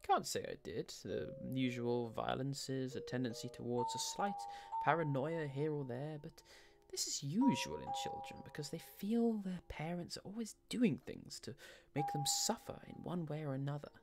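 A man's voice: on the high side, normal speed, vocal fry, hardly any pauses, over music, pitched above the performer's own voice. A man voicing a breezy, self-assured psychologist and family friend in a dramatic audio story.

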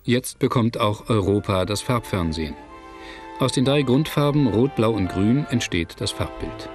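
A man narrating a scripted documentary in a measured, factual manner. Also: on the low side, animated, over music. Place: studio voice-over, music behind.

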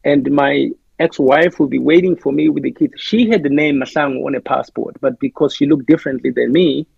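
A man's voice: medium pitch, brisk, creaky, darkish tone, husky, no echo, muffled recording. A man with a South African accent, speaking as an interview guest in a thoughtful, hesitant way with many restarts. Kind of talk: reflective interview talk.

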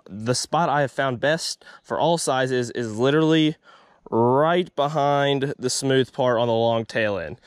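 A man's voice: medium pitch, clear, resonant tone, no room sound, clean studio sound. A young man, chatting upbeat to viewers while fishing from a small boat outdoors, recorded on an action camera. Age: young adult. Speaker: fishing vlogger. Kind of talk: casual vlog commentary.

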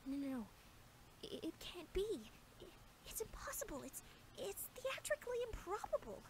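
A woman's voice: extremely high pitch, big pitch swings, normal speed, a little hiss or hum. A woman playing a young female unicorn pony in a cartoon, bewildered and earnest, stammering with emphasis. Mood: disbelieving. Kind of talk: animated fan-series dialogue.

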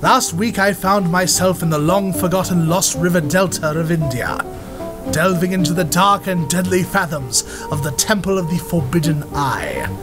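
A bold, world-famous explorer from an old-time radio serial, voiced by a man, speaking high and grand with full confidence. Music plays clearly behind him. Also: normal speed, close to an everyday voice. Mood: confident. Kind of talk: 1930s-style radio drama acting.

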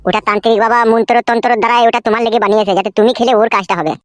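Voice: mid-range voice, steady tone, rapid-fire, no echo, lightly acted. A performer voicing a crude, wheedling, lovestruck younger brother-in-law in a comic cartoon. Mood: coaxing.

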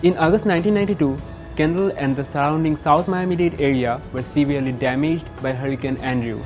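A young man from Bangladesh narrating a local history segment as a student reporter in a plain, even reading. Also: a little high, fast, soft background music. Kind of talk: scripted narration.

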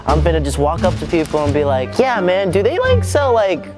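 A young man in casual, slangy, deadpan chat, recorded in a large store as a prank video host. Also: fairly high pitch, fairly quick, over music. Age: young adult. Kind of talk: casual prank chat, staged phone calls. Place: large busy retail store.

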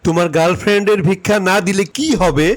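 A man's voice: sits high, fairly even intonation, fast talker, background noise, close to an everyday voice. A man playing an irritable younger householder in a funny cartoon, grumbling and challenging.

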